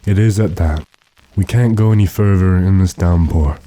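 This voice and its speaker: A man playing a weary, decisive gentleman master with a dry, commanding manner in an old-time radio drama. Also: low-pitched, moderate pace, lightly acted.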